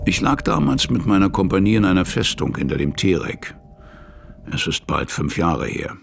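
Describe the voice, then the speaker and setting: A gruff blunt army captain around fifty for a radio play, voice swings up and down, bit of noise. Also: male voice, deep voice, sing-song, moderate pace, exaggerated voice. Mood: reminiscing. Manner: blunt, colloquial storytelling. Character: gruff, seasoned, scornful army staff captain of about fifty. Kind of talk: German radio play acting.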